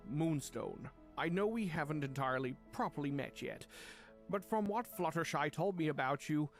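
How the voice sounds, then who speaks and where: An actor playing a theatrical, good-hearted male chaos creature, earnest and expressive, in cartoon drama style. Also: mid-range voice, normal speed, soft background music, lightly acted. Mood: sincere. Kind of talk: animated fan audio drama acting.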